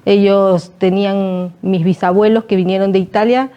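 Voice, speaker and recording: Mellow-voiced woman, hesitant storyteller. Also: medium pitch, fairly even intonation, clear, resonant tone, dull audio. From Peru. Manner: hesitant, many fillers, measured. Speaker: guest, local historian and author. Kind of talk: conversational storytelling.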